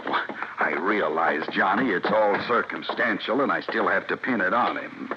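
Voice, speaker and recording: An actor with an American accent playing a gruff, eager police sergeant in a hardboiled radio drama, talking in emphatic slang. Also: male voice, sits low, moderate pace, natural voice. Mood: insistent. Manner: emphatic, slangy cop talk. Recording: dull, noisy old radio recording.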